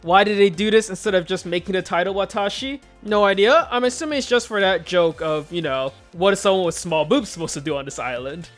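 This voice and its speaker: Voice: mid-range voice, brisk, hardly any pauses, soft background music. A casual, joking video host narrating a scripted countdown commentary.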